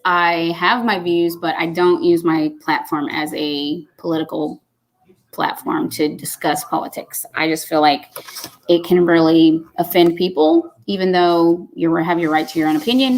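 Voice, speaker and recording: A woman's voice: fairly low pitch, normal speed, rough recording. A woman, hosting a casual live stream and chatting folksily as she reads comments aloud. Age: 50s. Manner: chatty and folksy, says y'all.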